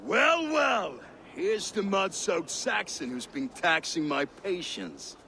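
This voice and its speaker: An actor playing a boastful, taunting Danish Viking steward in a video game, in a theatrical, gruff manner. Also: male voice, sits high, big pitch swings, takes it slowly, bright, forceful tone, a little hiss or hum, exaggerated voice. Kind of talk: dramatic video game acting with rhymed insults.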